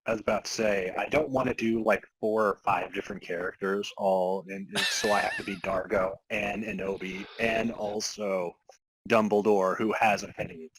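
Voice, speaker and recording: A man's voice: fairly low pitch, fairly even intonation, normal speed, a bit bright, low-quality recording. A man co-hosting a podcast in casual comic banter, joking with a dry, mock-serious manner. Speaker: podcast co-host.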